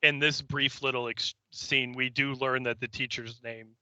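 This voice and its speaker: Sharp, bright-voiced man chatting casually. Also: mid-range voice, little pitch movement, normal speed, clear, resonant tone, no room sound, poor audio. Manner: joking, sweary, rambling storytelling. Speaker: podcast co-host. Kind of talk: casual recap of a TV episode, chatty banter.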